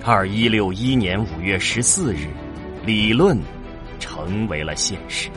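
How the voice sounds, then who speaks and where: A man with a fairly low voice narrates a science-fiction audio drama. He takes his time, in a measured storytelling style with wide, dramatic pitch movement. Music plays clearly behind him. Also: expressive.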